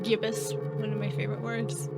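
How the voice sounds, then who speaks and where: A woman's voice: mid-range voice, monotone, a little fast, vocal fry. A woman doing casual game playthrough commentary, chatting to herself and reading on-screen text aloud. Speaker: gamer playing and commenting on a game. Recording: loud game music behind the voice. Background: game soundtrack.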